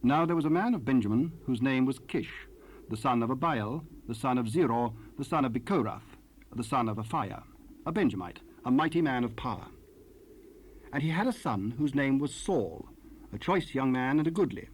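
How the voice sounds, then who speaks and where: A man's voice: medium pitch, expressive. Formal male scripture narrator, faint hiss. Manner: formal, measured. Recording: hissy 1970s cassette rip.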